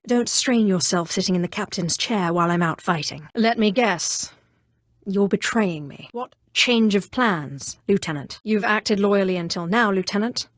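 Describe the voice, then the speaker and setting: Woman acting an imperious, coolly menacing dark sorceress. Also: mid-range voice, no room sound, clean studio sound, lightly acted. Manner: commanding, haughty, controlled, theatrical. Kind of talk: scripted video game voice acting.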